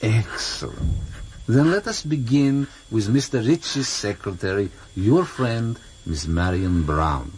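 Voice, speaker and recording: An actor playing a cultured, mockingly courteous older foreign interrogator, formal and deliberate, in a vintage radio drama. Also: male voice, lowish voice, lively intonation, darkish tone. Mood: menacing, polite. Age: middle-aged or older. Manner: formal, deliberate, mock-polite. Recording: slightly noisy vintage radio recording.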